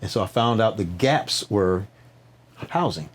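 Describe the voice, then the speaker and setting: A man's voice: on the low side, expressive, unhurried, husky. A man speaking as a podcast guest, telling stories conversationally with preacher-like emphatic repetition, rhetorical questions and frequent check-in tags. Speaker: podcast guest, nonprofit founder. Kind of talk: animated conversational storytelling, interview.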